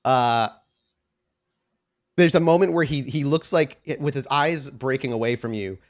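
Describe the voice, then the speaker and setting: A man improvising tabletop game narration as game master, halting as he searches for words. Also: medium pitch.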